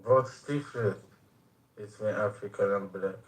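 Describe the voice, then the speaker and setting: A man in a heated group voice chat, speaking haltingly and earnestly with many "uh" fillers. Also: on the low side, reverberant, low-quality recording. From Iran. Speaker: voice chat participant.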